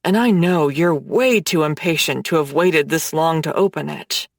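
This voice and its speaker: A woman voicing a dominant, smug man who issues commands in a low, slow, teasing way. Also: creaky, no room sound, clean studio sound. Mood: smug, commanding.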